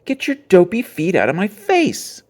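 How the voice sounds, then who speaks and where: A man voicing a grumpy young boy bear cub in a children's storybook, shouting and complaining in a snarling way. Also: sits high, wildly swooping pitch, moderate pace, voice pushed up, heavily acted. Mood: angry shouting.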